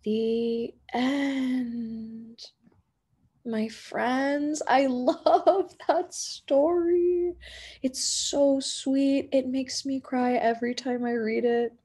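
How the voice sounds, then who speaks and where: A woman in her thirties reading a children's picture book aloud like a teacher, with gentle, expressive phrasing. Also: sits high, very slow, slightly rough.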